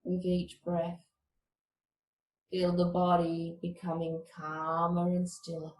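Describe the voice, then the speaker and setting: A woman teaching meditation, giving guided relaxation instructions in a calm, measured, soothing manner. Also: on the low side, reverberant, low-quality recording.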